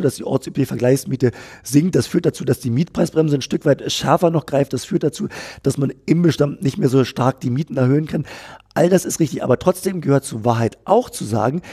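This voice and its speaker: A man being interviewed about policy, answering at length in a measured way, often repeating phrases and using fillers. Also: medium pitch, mellow, slightly rough. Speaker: politician guest. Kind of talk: political interview.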